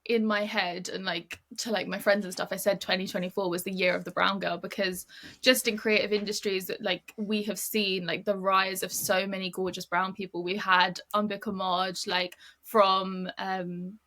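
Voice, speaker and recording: A woman's voice: mid-range voice, level tone, normal speed, fairly bright tone. A young British woman chatting as a podcast guest, filling her talk with "like" a lot. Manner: chatty, fills with "like" often. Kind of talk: casual interview chat.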